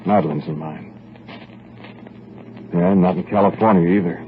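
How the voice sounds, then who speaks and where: An actor playing a grim man on the run with stolen money, narrating in a low, measured way in a 1940s radio drama. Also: steady tone, noisy background, natural voice. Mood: brooding. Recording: tinny old radio broadcast with faint music.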